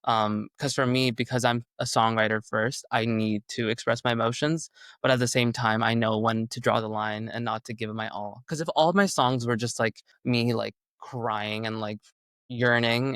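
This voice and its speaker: A man's voice: medium pitch, steady tone, moderate pace, a bit bright, dry recording. Chatty young man, dry close mic. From Canada. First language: Urdu. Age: 20s. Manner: chatty, heavy "like" fillers, upbeat. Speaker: musician guest. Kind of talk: casual interview answers.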